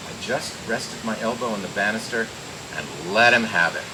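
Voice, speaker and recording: An actor playing a tough, playful private detective with a wry, flippant delivery in an old-time radio drama. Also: male voice, on the low side, lively intonation, moderate pace, natural voice. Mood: tense narration. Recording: noisy old radio recording.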